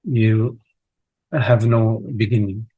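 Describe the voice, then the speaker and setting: A man with a lowish, husky voice guides a meditation. He speaks in short, halting phrases and leaves long pauses between them. The sound is dry and close. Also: fairly even intonation, mellow, no echo, poor audio. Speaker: meditation teacher leading a live online session. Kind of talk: guided meditation, slow contemplative speech.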